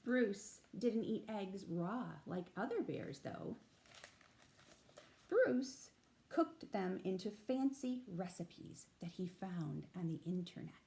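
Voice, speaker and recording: A woman reading a picture book aloud to young children as a storytime librarian, expressive and emphatic, with explanatory asides. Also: mid-range voice, slow, gravelly, slight background noise. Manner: animated, emphatic, with explanatory asides to little listeners.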